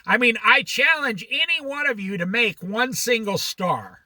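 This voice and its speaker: A man's voice: very high voice, unhurried, pushed and bright, no room sound. A man leading an informal Bible study, throwing out challenging rhetorical questions and jokey asides.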